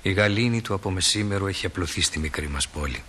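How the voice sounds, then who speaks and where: Genial small-town narrator in a radio play, lowish bright voice, a bit of hiss. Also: male voice. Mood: calm, wry. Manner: unhurried, descriptive storyteller.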